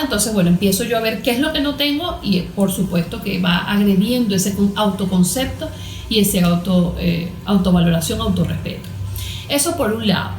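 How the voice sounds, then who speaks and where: A Venezuelan woman, a therapist being interviewed, explaining things in an earnest, didactic way, point by point. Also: medium pitch. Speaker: therapist and coach, interview guest. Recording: roomy echo.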